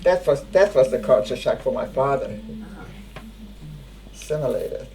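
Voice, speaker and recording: An older woman whose first language is Hungarian speaks in a dark, mellow voice. She gives her testimony haltingly, pausing to search for words, with faint ambient noise behind her. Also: very deep voice, lively intonation, rough recording. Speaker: interviewee. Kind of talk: spoken testimony.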